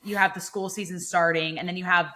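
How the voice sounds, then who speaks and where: A woman's voice: lowish voice, fairly even intonation, pushed and bright. A woman co-hosting a fitness podcast, upbeat and agreeable, chatting casually and chiming in often. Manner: upbeat, agreeable, quick to chime in. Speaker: podcast co-host, fitness coach. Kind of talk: casual chat.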